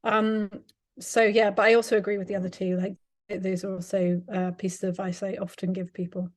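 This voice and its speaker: A woman's voice: medium pitch, flat delivery, brisk, dry recording, clean studio sound. A woman startup founder speaking on an online panel, friendly with light laughs and fillers, over a video call. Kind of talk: panel discussion.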